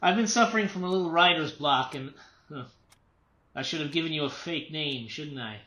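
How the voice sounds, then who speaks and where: An actor in a radio play playing a tense, unravelling writer and father, agitated, irritable and repeating himself haltingly. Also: fairly high pitch, bright, forceful tone. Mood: uneasy, defensive.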